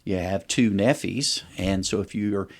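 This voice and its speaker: A middle-aged man with a fairly low voice talks as a doctor being interviewed. He explains medical points in a conversational way, often walking through examples in plain first person. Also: a little slow. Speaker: allergist, podcast guest.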